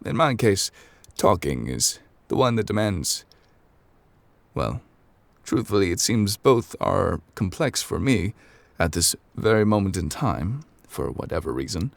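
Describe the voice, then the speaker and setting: A calm, scholarly ancient god, as a man puts him on for an ASMR roleplay. He talks with very deep, gravelly gravitas and unhurried, formal phrasing. The voice stays close to the microphone and sometimes drops to soft near whispers, in a dry room. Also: dry recording, exaggerated voice. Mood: musing. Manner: formal, measured, old-fashioned phrasing.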